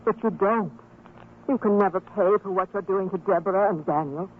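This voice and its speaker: A woman's voice: medium pitch, normal speed, husky, natural voice. An actress playing a devout, firm and hopeful wife and mother in a religious radio drama, speaking with earnest, formal exhortation. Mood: earnest urging. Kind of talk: old-time religious radio drama acting. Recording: tinny, band-limited old radio recording, noisy.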